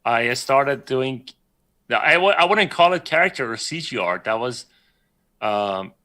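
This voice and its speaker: A man's voice: medium pitch, expressive, measured pace, bright, forceful tone. A man from Iran, a guest on a livestream chat, telling his story in a rambling, self-correcting way and laughing often. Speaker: guest artist.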